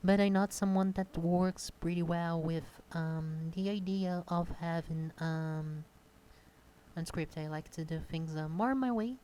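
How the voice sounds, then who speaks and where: A young woman from Brazil with a Brazilian Portuguese accent, speaking shyly and haltingly in an unscripted casual monologue at a home computer desk, close to her microphone, with many pauses and fillers. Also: fairly low pitch, steady tone, mellow, slight background noise. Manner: shy. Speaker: content creator.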